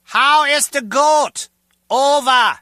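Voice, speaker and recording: A man's voice: extremely high pitch, slow, pushed and bright, no room sound, clean studio sound, heavily acted. An actor playing a petulant, suspicious and literal-minded male lab assistant in a comic video game, talking in a stilted, insistent way. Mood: stubborn, formal.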